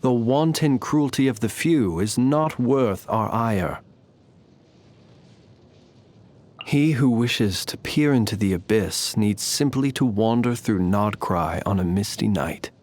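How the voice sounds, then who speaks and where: A man voicing a calm, wry, ancient fae lantern keeper for a video game, speaking in formal, measured, theatrical phrases. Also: fairly low pitch. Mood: musing, ominous. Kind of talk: scripted video game character voice lines.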